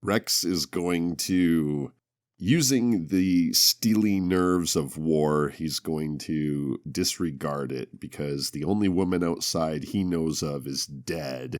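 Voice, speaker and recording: A man's voice: very deep voice, slow, no room sound. A man playing a tabletop roleplaying game, talking casually with wry, theatrical reactions. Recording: music behind, close dry sound. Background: music.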